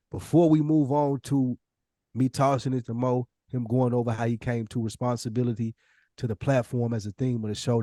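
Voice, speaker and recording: A man's voice: medium pitch, dark tone, no room sound, studio quality. Forty-year-old American man hosting casually. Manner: slangy, conversational. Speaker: podcast host. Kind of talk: casual podcast talk.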